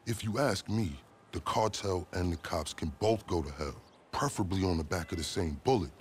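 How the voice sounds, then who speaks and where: A man playing a calculating police officer in a video game cutscene, speaking deliberately in formal, wordy phrases with some swearing. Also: low-pitched, slight background noise, lightly acted. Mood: resentful, conspiratorial. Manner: deliberate, verbose, formal vocabulary mixed with swearing. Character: smooth, calculating rookie cop with a grudge against corruption.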